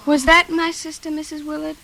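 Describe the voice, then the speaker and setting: Haunted high-voiced patient, noisy old radio. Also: female voice, little pitch movement, a little fast, vocal fry. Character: haunted, fragile female patient, eerily calm, brooding. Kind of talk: 1940s radio thriller acting. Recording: noisy vintage radio recording with crackle.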